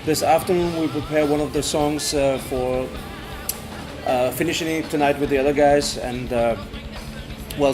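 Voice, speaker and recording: A man with a German accent, a band's singer, talking in a casual interview with many fillers and restarts. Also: a little high. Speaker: band singer.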